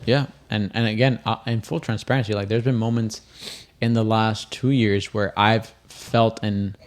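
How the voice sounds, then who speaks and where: Emphatic man, animated. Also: fairly low pitch. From Puerto Rico. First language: Spanish. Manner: emphatic, animated, quick to laugh. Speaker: podcast co-host. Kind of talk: casual faith-based podcast chat.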